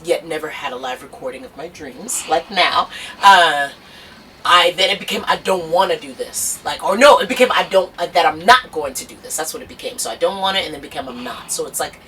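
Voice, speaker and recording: A woman's voice: deep voice, sharp tone, far from the mic, a little hiss or hum, poor audio. A young woman talking about her music in an earnest, rambling interview full of fillers and restarts. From United States. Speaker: singer-songwriter. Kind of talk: casual documentary interview.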